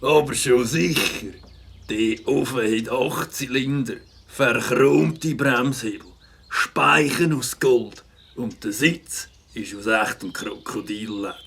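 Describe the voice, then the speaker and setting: An actor playing a smug, mocking biker in a cartoon, bragging in slangy talk. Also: male voice, lowish voice, takes it slowly, vocal fry, fairly bright tone, breathy edge, full character voice. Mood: smug, boastful. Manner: bragging, smug chuckling drawl. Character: boastful, mocking biker show-off.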